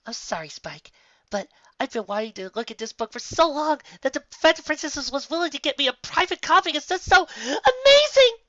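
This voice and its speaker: A man voicing an excitable, bookish and smug female unicorn librarian in a storybook reading, with emphatic delivery. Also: extremely high pitch, fairly quick, dry recording, lo-fi sound, voice pushed up, heavily acted. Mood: gushing. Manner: gushing, emphatic.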